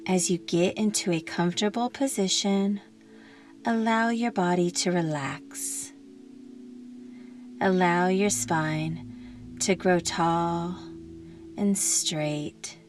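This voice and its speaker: A woman guides a meditation very slowly, in a fairly low voice. Her delivery is gentle and soothing, with long pauses between short instructions. Soft music plays behind her. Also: steady tone.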